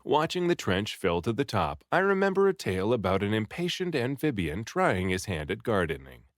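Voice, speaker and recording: A man narrates an adventure story with lively, swooping intonation. He reads expressively, playing up the first-person hero's words. The recording is dry and close, with little room sound. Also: fairly low pitch, normal speed, no room sound. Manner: animated, dramatic, acts out the first-person hero. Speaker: audiobook reader. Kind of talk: expressive scripted story narration, read aloud.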